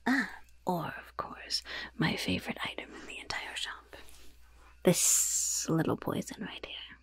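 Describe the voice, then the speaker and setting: A woman voicing a gleeful, mischievous goblin shopkeeper in a breathy, raspy whisper, slowly and slyly savoring every curse and poison in an ASMR roleplay. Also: lowish voice, expressive, no echo, heavily acted. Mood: morbid relish. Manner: slow, savoring, slyly polite.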